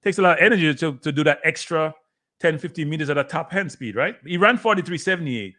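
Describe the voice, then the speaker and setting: A man's voice: on the high side, expressive, fast, sharp tone. A man hosting a watchalong, giving casual live sports commentary. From Jamaica.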